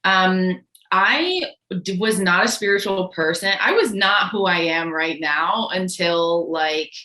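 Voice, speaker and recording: A woman's voice: on the low side, slow, sharp tone, roomy sound. A woman in her late twenties, from Connecticut, chatting as an interview guest with lots of fillers and "like". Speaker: guest, microdosing guide. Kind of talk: casual interview chat.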